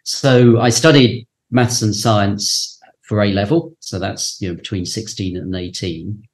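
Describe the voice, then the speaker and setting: Interview guest: man, southern English accent, lower register, academic talking conversationally. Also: steady tone, a little slow, rough recording. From southern England. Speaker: interview guest, university professor.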